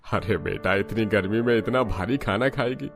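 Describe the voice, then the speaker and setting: Brief: actor as a thrifty older cartoon dad, very low voice, coaxing, light music behind. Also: male voice, normal speed, close to an everyday voice. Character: family father, older man, thrifty and coaxing. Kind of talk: Hindi cartoon story voice acting.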